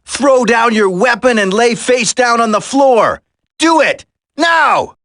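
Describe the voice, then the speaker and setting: A man's voice: extremely high pitch, animated, takes it slowly, fairly bright tone, raised voice, full character voice. A man voicing an earnest, determined young commando in a video game, urgent in delivery. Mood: commanding.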